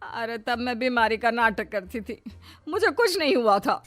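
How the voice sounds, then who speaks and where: Woman playing a high-pitched, complaining older mother-in-law. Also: animated, normal speed, fairly bright tone. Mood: indignant. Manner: shrill, wailing, accusatory. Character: dramatic, complaining older mother-in-law who plays sick. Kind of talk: Hindi cartoon moral story acting. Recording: music behind the voice.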